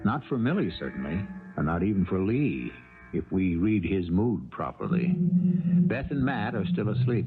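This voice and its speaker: An American man narrating a 1970s radio mystery drama as its host, measured and solemn, with dramatic pauses. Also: lowish voice, creaky. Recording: tinny band-limited 1970s radio, music behind.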